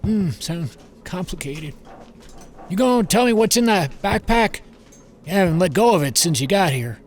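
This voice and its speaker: A man playing a gruff, kindly older uncle in an audio drama, folksy and plain-spoken. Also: high-pitched, animated, normal speed. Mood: concerned, gentle.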